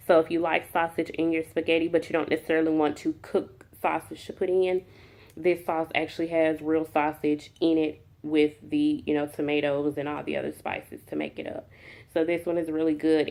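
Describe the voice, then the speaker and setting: A woman vlogger with a cold that makes her nasal, talking softly and unhurriedly to her viewers with many ums. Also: deep voice, creaky. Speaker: mukbang vlogger. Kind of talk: casual talk to camera.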